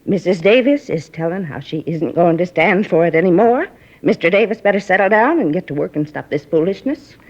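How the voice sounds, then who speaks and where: A chatty, prying middle-aged neighbor rambling on in folksy, confiding talk. Her voice is a little husky, heard through a dull lo-fi 1950s radio recording. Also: on the low side, expressive, brisk. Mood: gossiping. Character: gossipy nosy middle-aged woman neighbor. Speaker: apartment neighbor questioned by police. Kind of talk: 1950s radio drama acting.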